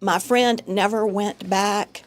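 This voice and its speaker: A middle-aged woman speaks as a guest on a local radio show. She talks in a friendly, earnest way and laughs easily. Her voice sits at a middle pitch for a woman. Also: fairly even intonation, takes it slowly. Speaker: guest, chorus member. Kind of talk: casual interview. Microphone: studio microphone.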